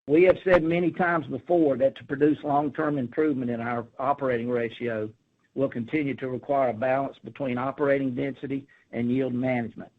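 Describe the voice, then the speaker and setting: A man's voice: medium pitch, steady tone, brisk. Exec guy reading a scripted earnings report, formal n businesslike, narrow phone line sound. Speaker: company executive. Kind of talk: scripted earnings call presentation.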